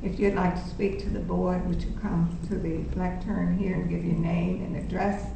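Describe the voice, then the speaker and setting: A woman chairing a public board meeting, running the agenda in a brisk, procedural way. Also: on the low side, flat delivery, gravelly, background noise. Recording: muffled, echoey room from far off.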